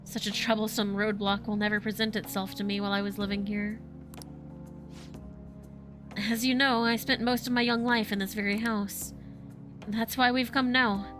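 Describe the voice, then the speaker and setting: An actor playing a refined, earnest young male art student in a video game, speaking in formal, measured phrasing. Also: high-pitched, lightly acted.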